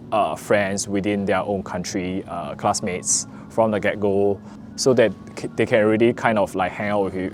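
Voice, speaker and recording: A young man from Singapore with a Singaporean English accent, talking earnestly to camera in a relaxed vlog, with frequent "uh" fillers. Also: lowish voice, slight background noise. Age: young adult. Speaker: vlogger, recent business school graduate. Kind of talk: casual talk to camera.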